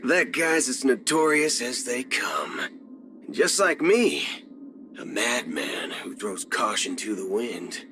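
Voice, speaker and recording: A man's voice: mid-range voice, big pitch swings, slow, noisy background. A man playing a cocky cyborg cowboy outlaw in a video game, with a swaggering, folksy drawl. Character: cocky cyborg cowboy gunslinger outlaw, a male galaxy ranger. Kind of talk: video game character voice acting. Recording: music behind the voice. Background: music.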